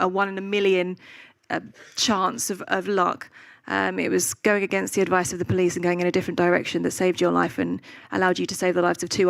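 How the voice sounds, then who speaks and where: A woman's voice: fairly low pitch, creaky, no room sound, clean studio sound. A British woman hosting an onstage conversation at a live event, speaking hesitantly with fillers and restarts. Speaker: event host and interviewer. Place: event stage before a live audience.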